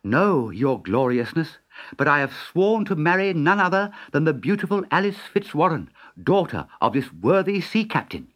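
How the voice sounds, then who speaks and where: Man voicing an earnest, high-voiced young cabin boy. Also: sing-song, normal speed, a bit bright. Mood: earnest, polite. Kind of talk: storybook character voice.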